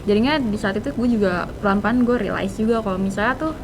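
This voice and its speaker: A teenage girl with a Jakarta accent, answering as a podcast guest in informal, slangy speech sprinkled with English terms. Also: fast. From Indonesia. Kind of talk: casual interview chat. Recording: noisy room, low rumble.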